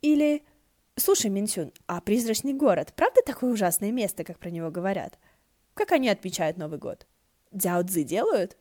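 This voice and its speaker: A woman's voice: mid-range voice, animated, normal speed, darkish tone, no room sound, clean studio sound, close to an everyday voice. A woman voicing a playful, chatty young male wind deity in a fan-fiction audiobook, gushing and full of eager questions. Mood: cheerful, curious. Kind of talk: audiobook character voice.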